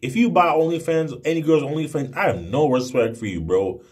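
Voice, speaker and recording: A man's voice: fairly high pitch, brisk, a little mellow, breathy edge. A man in his twenties talking casually to camera in a brash, emphatic, slangy way with heavy swearing. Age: 20s. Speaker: video blogger. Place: small room indoors. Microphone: phone at arm's length.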